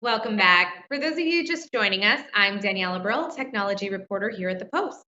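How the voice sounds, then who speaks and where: A woman's voice: medium pitch, expressive, a little fast, bright, forceful tone, a little hiss or hum. A woman, a technology reporter, hosting and moderating a live interview, friendly and a little flustered at first. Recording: lo-fi with a roomy echo.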